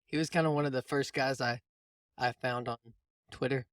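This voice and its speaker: A man's voice: mid-range voice, fairly even intonation, normal speed, a bit bright, no echo, studio quality. A man hosting a casual coaching conversation, explaining things informally and often rephrasing as he goes. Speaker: podcast host and mindset coach.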